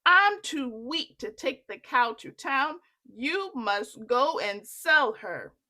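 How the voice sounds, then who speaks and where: A woman's voice: high voice, sing-song, takes it slowly, bright, forceful tone, exaggerated voice. A woman voicing a poor, exasperated mother in a children's storybook. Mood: weary, pleading. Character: Jack's poor, weary, scolding mother.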